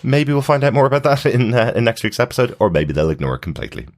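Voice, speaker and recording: A man's voice: mid-range voice, big pitch swings, quick pace. A man hosting a podcast, chatting casually as he recaps a TV episode in a conversational way. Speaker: podcast host.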